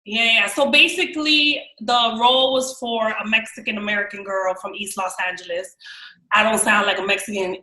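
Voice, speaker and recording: A young woman with a New York accent, telling stories as a podcast guest in an animated, slangy way. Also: medium pitch, moderate pace, roomy sound, poor audio. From New York, raised in Miami. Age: young adult. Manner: animated, slangy, quotes people in voices. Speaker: guest actress. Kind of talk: casual storytelling chat.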